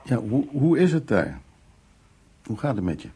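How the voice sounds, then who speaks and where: A man's voice: mid-range voice, a little hiss or hum. An actor playing a composed, tolerant mature man in a radio play, terse and dry in manner. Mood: calm, conciliatory. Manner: calm, terse, measured. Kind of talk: naturalistic radio play acting.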